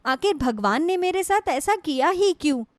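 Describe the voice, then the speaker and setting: A woman's voice: high voice, lively intonation, moderate pace, dry recording, studio quality, pitched above the performer's own voice. A woman voicing a long-suffering young wife in a moral storybook tale, plaintive and despairing. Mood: sorrowful. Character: sorrowful, long-suffering young wife pouring out her grief. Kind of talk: Hindi moral storybook voice acting.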